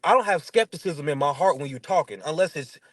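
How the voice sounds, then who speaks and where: High-pitched man with a stutter. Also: expressive, fast, a bit bright, no room sound. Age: 30s. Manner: blunt, slangy, emphatic. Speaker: interview guest. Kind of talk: casual chat, animated storytelling.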